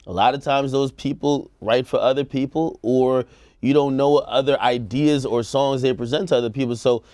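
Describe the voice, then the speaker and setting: A man's voice: medium pitch, steady tone, moderate pace, a little mellow, no room sound, clean studio sound. A man co-hosting a casual music discussion show, hedging and qualifying his opinions as he talks. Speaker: talk show co-host.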